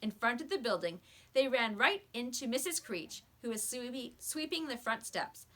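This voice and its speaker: Bright-voiced woman reading a storybook aloud. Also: on the high side, lively intonation, moderate pace. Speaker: teacher reading a children's book aloud. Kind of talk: expressive storybook narration.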